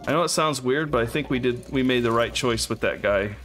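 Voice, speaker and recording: A man's voice: medium pitch, brisk, fairly bright tone. A man casually commenting on his co-op game as he plays, musing aloud and laughing often. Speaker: let's play host. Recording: game music behind voice.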